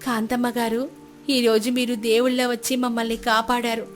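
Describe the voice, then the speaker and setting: A woman plays a frail old village wife in a storybook tale. She is humble, deferential and deeply thankful, with faint music underneath. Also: level tone, normal speed, lightly acted. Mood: grateful. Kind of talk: moral storybook character dialogue.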